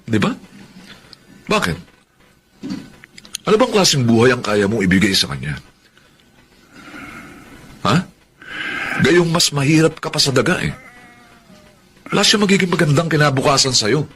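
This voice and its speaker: A man's voice: mid-range voice, sing-song, unhurried, long pauses, a bit bright. A Filipino man playing an arrogant, successful rival in love in a radio drama, haughty and taunting. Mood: scornful, boastful.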